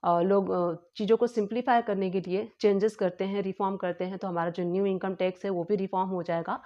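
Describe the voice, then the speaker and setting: A woman's voice: medium pitch, steady tone, brisk, mellow. Woman explaining tax news, muffled recording. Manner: explanatory, repetitive, hesitant with frequent fillers. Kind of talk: informal explanatory lesson. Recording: slightly dull lo-fi home recording.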